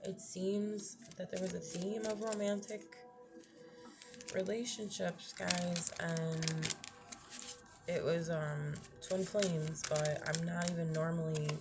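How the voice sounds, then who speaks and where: A young woman with a slightly raspy voice doing a casual tarot reading, thinking aloud, trailing off and restarting her sentences. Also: lowish voice, fairly even intonation, slow, over music. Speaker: tarot reader. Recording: audible background noise.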